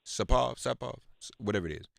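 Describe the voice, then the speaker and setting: A man hosting a live political call-in radio show, halting and emphatic, repeating words for stress as he reads news and talks with callers. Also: medium pitch, animated, vocal fry, a bit bright, no echo, studio quality.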